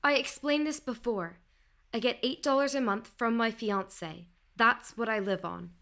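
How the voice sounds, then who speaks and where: A woman's voice: a little high, normal speed, fairly bright tone. A woman playing a poor, embattled young heroine in a radio drama, proud, defiant and cynical. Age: young adult. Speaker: actor in a radio play.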